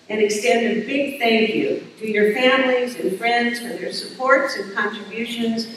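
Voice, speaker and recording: An older woman speaks from a podium on a large stage, delivering formal commencement welcomes and introductions with a dry, self-deprecating touch. Her voice rings through a big, reverberant hall and sounds set back from the microphone, with a faint hiss underneath. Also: on the high side. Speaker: university president. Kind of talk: commencement address. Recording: echoey hall, heard from a distance.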